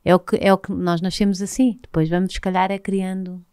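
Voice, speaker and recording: A woman's voice: lowish voice, flat delivery, a little fast, clear, resonant tone, no echo, studio quality. A woman, hosting a podcast interview and prompting her guest with questions. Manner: warm prompting questions, frequent short backchannels. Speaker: podcast host. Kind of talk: casual conversational interview. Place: cosy caravan studio set. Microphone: studio mic on a boom arm, with headphones.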